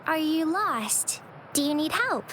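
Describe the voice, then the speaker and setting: A woman's voice: high-pitched, sing-song, takes it slowly, a bit bright, slight background noise, full character voice. A woman voicing a sweet, polite, slightly awkward young girl in a game, with overly formal courtesy. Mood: polite, eager. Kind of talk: scripted game dialogue.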